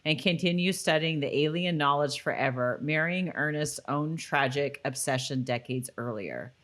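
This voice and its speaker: A woman with a low-pitched voice co-hosts a rewatch podcast. She talks casually, tends to be giggly, and moves between chatting and reading recaps. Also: normal speed, fairly bright tone. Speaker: podcast co-host.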